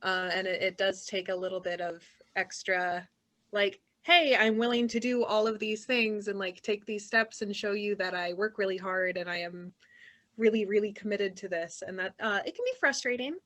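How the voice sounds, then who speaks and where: A young woman with a high, nasal voice chats over a video call. Her tone is bright and sharp, and her talk is upbeat and loose. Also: steady tone, moderate pace. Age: young adult. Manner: chatty, upbeat, uses "like" a lot. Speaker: guest. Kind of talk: casual group conversation.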